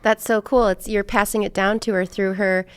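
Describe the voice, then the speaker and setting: A woman's voice: mid-range voice, level tone, moderate pace, clear, resonant tone. A woman hosting a podcast, talking casually and asking questions in a conversational interview. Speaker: podcast host.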